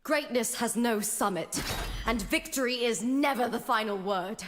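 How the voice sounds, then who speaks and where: A woman's voice: a little high, unhurried, slight background noise, natural voice. A woman voicing a fierce, proud young gladiator in an anime video game, defiant and declamatory. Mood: proud, triumphant. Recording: loud game music underneath. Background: game battle music.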